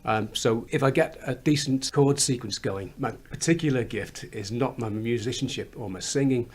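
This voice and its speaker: A songwriter with a slight Liverpool accent and a fairly high voice, chatting in a studio interview and laughing often as he explains how he writes songs. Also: normal speed, slightly rough. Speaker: songwriter and musician. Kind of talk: radio interview chat. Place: recording studio.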